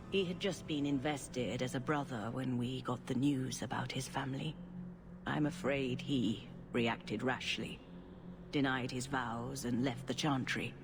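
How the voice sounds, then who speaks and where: An actress playing a dignified, compassionate older cleric, speaking formally and solemnly in a video game. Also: female voice, extremely low pitch, background noise.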